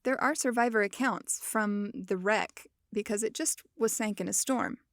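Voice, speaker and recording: Lady podcast host joking around while she explains stuff, mid pitch, super dry close sound. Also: normal speed, dry recording. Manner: playful, jokey, self-mocking. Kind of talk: casual educational podcast talk.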